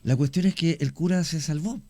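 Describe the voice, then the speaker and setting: A middle-aged man with a Chilean accent, a guest on a radio show, telling stories slowly with many pauses and restarts. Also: on the high side, a little mellow, no echo. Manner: unhurried, many fillers and restarts, anecdotal. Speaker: guest storyteller. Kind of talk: radio conversation, recounting cases.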